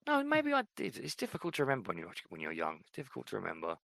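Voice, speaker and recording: Middle-aged man, podcast co-host, low gravelly voice, relaxed rambling chat, dry close sound. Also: racing, no room sound. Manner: relaxed, rambling, frequent fillers. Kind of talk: casual chat.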